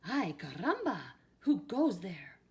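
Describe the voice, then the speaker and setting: A woman voicing an excitable little boy kitten who plays a bold Chihuahua hero, boastful and theatrical, with a put-on Spanish accent. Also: mid-range voice, slow pace.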